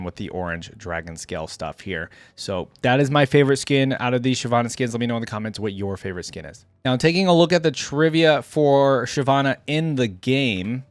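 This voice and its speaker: A man's voice: mid-range voice, no room sound. A man giving casual reaction commentary as a video host, musing aloud in a rambling way.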